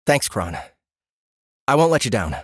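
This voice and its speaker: An earnest young man, newly arrived and friendly, speaks eagerly with a lively, rising and falling pitch, as a male narrator gives him voice. The recording is dry and close. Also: measured pace, breathy edge, no echo.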